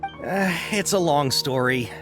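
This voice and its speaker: A man's voice: on the high side, slow pace, music in the background. A man voicing an apologetic, flustered caravan merchant in a video game, with a hesitant way of talking.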